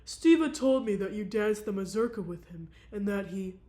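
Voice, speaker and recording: A woman reading aloud, voicing a calm married woman with a deliberately low, measured voice. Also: natural voice. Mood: gentle. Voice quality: low, measured and soft-spoken.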